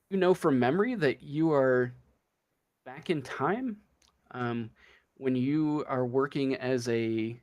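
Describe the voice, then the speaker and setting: Slow, halting man as game master. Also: mid-range voice. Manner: halting, hesitant, feeling for words. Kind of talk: improvised narration of a tabletop role-playing game.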